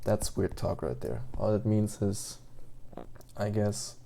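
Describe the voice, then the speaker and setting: Young man, German accent, low gravelly voice, very dark tone, rambling and hesitant. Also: animated, slow pace, a little hiss or hum. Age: 20s. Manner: meandering, hesitant, many fillers and restarts. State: just woke up. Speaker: language learner vlogger. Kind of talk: rambling casual rant.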